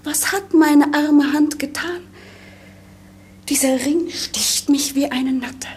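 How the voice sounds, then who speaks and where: An actress playing a melancholy, dreamy young princess in a 1950s German radio play, with lyrical, pensive delivery. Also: female voice, sits high, slow. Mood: anguished, pleading. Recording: noisy 1950s radio recording.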